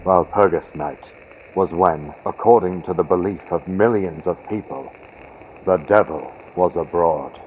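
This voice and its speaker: A man narrating a gothic horror story theatrically and deliberately, moving between hushed and loud delivery, with a vintage radio sound from a built-in computer microphone. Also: low-pitched. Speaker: audiobook narrator. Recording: tinny phone-like built-in computer mic, noisy. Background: faint storm-like ambient sound effects.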